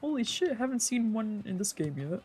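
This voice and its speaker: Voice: medium pitch, sing-song, a little fast, darkish tone, husky. A streamer reading a visual novel aloud as narration, dramatically, with casual asides. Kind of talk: let's play narration with improvised character voices. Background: faint game music.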